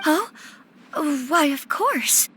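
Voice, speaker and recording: A woman's voice: high-pitched, animated, drawn-out words, noisy background, heavily acted. A woman voicing a genteel, sweet young noble lady in a video game, polite and admiring. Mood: impressed, coy. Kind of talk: English video game voice acting.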